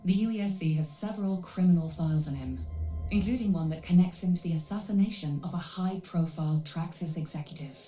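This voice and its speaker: A woman playing a composed female AI handler in a video game, speaking in a measured, formal and clinical way. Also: fairly low pitch, dark tone, reverberant, distant mic, faint music behind, lightly acted, poor audio. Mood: measured briefing. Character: composed female AI handler briefing mercenary runners. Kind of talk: scripted video game cutscene dialogue.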